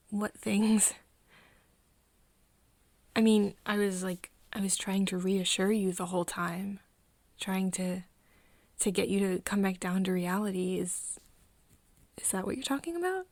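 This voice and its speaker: ASMR roleplay lead: woman playing caring young female friend, breathy, hesitant, unhurried, very close dry sound. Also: mid-range voice, dry recording. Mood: gentle, sheepish. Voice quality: soft, breathy. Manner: hesitant, stammering, tender. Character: caring, flustered young woman soothing her vampire friend.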